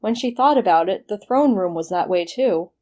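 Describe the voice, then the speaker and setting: A woman's voice: mid-range voice, expressive, normal speed, mellow. A woman working as a narrator, reading scripted material for an audio story production.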